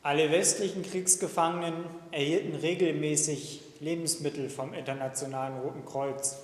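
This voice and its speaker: A young man voicing a weary young Ukrainian prisoner of war in a slow, halting testimony reading. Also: fairly high pitch, steady tone, lightly acted. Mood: grave. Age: young adult. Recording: echoey hall recording.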